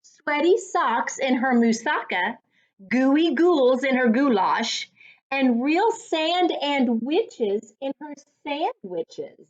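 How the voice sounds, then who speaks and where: A woman with a fairly high voice reads a storybook to children very slowly. Her delivery is deliberate and instructive, like a teacher's. The recording is dull and lo-fi. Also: expressive. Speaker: reading coach. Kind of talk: read-aloud storytime for children. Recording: dull lo-fi sound.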